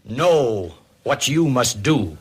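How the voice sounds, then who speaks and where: A man giving a solemn, deliberate civil defense announcement in 1950s radio style. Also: medium pitch, sing-song, husky.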